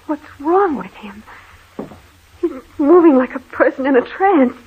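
An actress playing a frightened, anxious wife in an old radio horror drama, fretful and pleading. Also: female voice, high voice, animated, unhurried. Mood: alarmed pleading. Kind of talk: vintage radio horror acting. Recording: tinny, hissy old-time radio recording.

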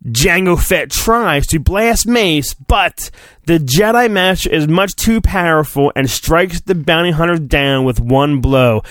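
Animated, high-pitched man reading theatrically. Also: measured pace, few pauses, no echo. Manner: theatrical, irreverent, often breaking off to comment. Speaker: podcast host. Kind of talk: mock-dramatic storybook reading with crude comic asides.

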